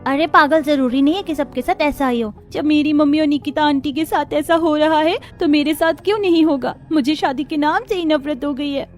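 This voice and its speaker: A woman's voice: high voice, brisk, natural voice. A woman voicing an innocent, earnest little girl in a cartoon family story. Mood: earnest, upset. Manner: childlike, earnest. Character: little girl, innocent and fearful, with her friend. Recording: background music under voice.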